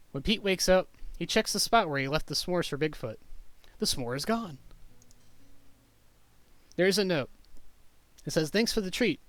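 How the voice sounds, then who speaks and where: A man reading a children's picture book aloud as a teacher would, in simple, clearly phrased storytelling for young children. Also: a little high, a bit bright, no echo.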